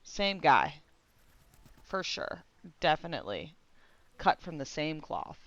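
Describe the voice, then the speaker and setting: Expressive woman streamer with lively pitch. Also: takes it slowly, no room sound, lo-fi sound. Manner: slangy, sweary, expressive. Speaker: video game streamer. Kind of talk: casual livestream reaction commentary.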